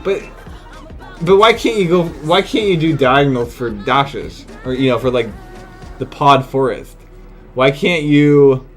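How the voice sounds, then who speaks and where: A man's voice: mid-range voice, a little slow, faint music behind. A man giving casual, incredulous live commentary as a video game streamer, exclaiming and swearing freely.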